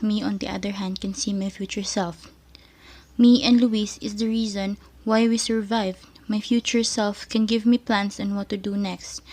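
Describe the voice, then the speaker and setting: An earnest, calm schoolgirl, played by a woman, with a dark, mellow tone and faint music under the radio play. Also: mid-range voice, natural voice. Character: calm girl guided by her future self.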